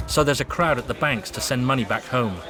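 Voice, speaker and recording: A man giving measured, wry scripted documentary narration as a voice-over. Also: medium pitch. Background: music.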